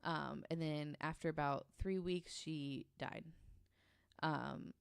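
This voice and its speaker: A woman's voice: low voice, fairly even intonation, takes it slowly, creaky, clean tone, no room sound, studio quality. A woman telling a researched story on a podcast in a casual, conversational way, with an informal, hesitant delivery.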